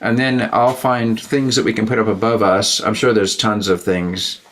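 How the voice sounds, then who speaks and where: A man's voice: fairly low pitch, level tone, moderate pace, a bit bright. Man hosting a casual, rambling interview. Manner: rambling, jokey, tangent-prone. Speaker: interview host and show producer.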